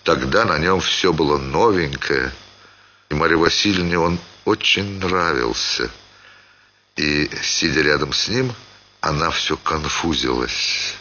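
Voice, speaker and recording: A man reading a literary short story as expressive scripted narration, with dramatic, theatrical phrasing. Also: rumbling low, measured pace, muffled recording, slight background noise.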